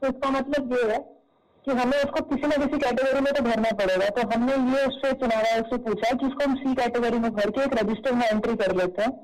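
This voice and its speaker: A woman's voice: a little high, fairly even intonation, brisk, a little mellow. A woman official explaining procedure step by step, repeating herself, on a played-back phone call recording. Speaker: government election official. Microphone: phone call recording replayed at press conference.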